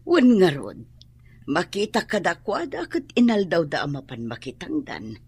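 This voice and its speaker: An actress playing a practical older landlady and mother in a radio drama, with a husky voice and an Ilocano accent. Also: on the low side, huge pitch swings, moderate pace, a little mellow, dry recording, exaggerated voice. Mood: matter-of-fact.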